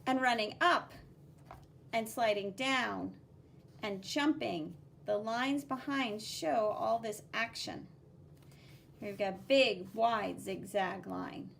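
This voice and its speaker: A woman teacher reading a picture book aloud to children, explaining the drawings in a simple, instructive, expressive way with sing-song emphasis on key words. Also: a little high, vocal fry, slight background noise.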